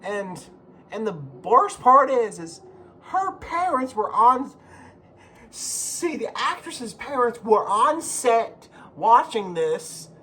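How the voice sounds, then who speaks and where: A man giving casual, rambling video commentary as a host, halting and repeating himself, with informal, sweary phrasing. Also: very high voice, sing-song, pushed and bright. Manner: profane, informal. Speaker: YouTube host.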